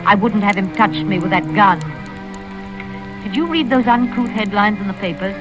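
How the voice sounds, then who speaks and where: A woman's voice: mid-range voice, moderate pace, lightly acted. Actress as a haughty old wealthy lady, old-time radio. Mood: prim narrating. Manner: imperious, prim, scolding. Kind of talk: 1940s radio acting, first-person narration and dialogue. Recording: old radio drama recording with music.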